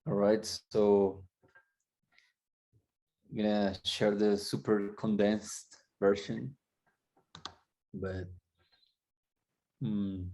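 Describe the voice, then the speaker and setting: A man from Colombia, a guest coach, telling his story candidly and reflectively, halting and restarting as he goes. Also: on the low side, level tone, mellow.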